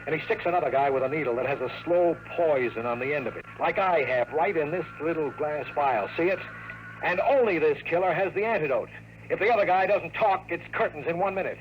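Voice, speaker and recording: A man's voice: a little high, a little fast, slight background noise, natural voice, poor audio. A man acting a cocky, sardonic American investigator in an old radio drama, pushy and wisecracking, with clipped slangy talk. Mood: cool menace. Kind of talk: 1950s radio drama acting with first-person narration. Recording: vintage radio recording with faint music.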